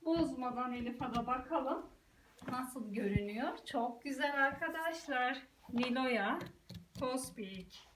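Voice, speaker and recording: A woman's voice: sits high, animated, slow. A woman talking as a mother guiding her young child through play, giving short encouraging prompts and addressing viewers casually. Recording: roomy, distant mic, clear background noise.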